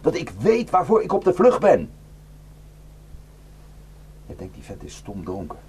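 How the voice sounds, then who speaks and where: An actor playing a haunted, guilt-ridden male defector in a Dutch radio drama, speaking in a halting, intense and bitter way. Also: medium pitch, wildly swooping pitch, mellow. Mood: bitter, desperate. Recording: noisy radio play recording with hum.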